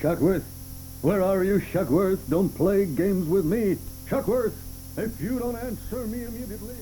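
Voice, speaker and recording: A man's voice: high voice, unhurried, over music, rough recording. An actor playing a pompous, short-tempered male president who barks orders, in a 1980s studio radio play. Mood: blustering. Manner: blustering, barking orders.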